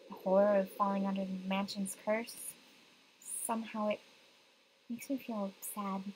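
A woman's voice: medium pitch, slight background noise, rough recording. A young woman reading visual novel narration aloud. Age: young adult. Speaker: game streamer reading aloud.

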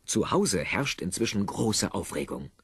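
A man with a husky voice narrating an audio drama in an animated, wry storytelling style. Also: lowish voice, unhurried, dry recording, exaggerated voice. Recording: dry cassette studio narration over music. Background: music.

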